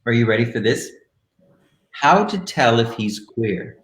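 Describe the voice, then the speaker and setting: A man's voice: medium pitch, lively intonation. Theatrical man in an echoey room. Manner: theatrical, camp, deliberate phrasing. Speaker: host of a live online show. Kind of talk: casual live chat with dramatic book readings. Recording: roomy, echoing live-stream sound.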